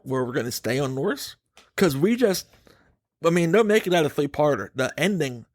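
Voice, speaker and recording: A man's voice: fairly high pitch, no echo, studio quality. A man hosting a podcast, giving his opinions in casual, emphatic chat and putting questions to his co-host.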